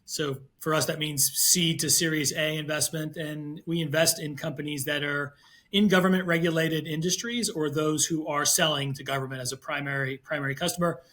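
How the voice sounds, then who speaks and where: A man answering as an investor guest in an interview, conversational and halting, often repeating words and phrases. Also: fairly high pitch, steady tone, sharp tone.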